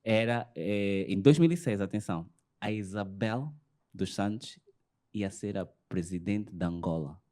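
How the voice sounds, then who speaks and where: A man's voice: on the low side, unhurried, mellow. A man hosting a casual interview, hesitant and repeating words as he starts each thought.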